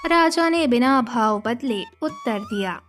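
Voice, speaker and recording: High-voiced, unhurried woman storyteller. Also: animated, fairly bright tone. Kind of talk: scripted narration of an animated children's story. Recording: music behind the voice.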